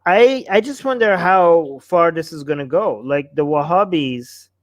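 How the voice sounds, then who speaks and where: A man's voice: a little high, a little slow, dry recording, studio quality. A man hosting a panel discussion, speaking emphatically and repeating phrases for stress. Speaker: host of a discussion show.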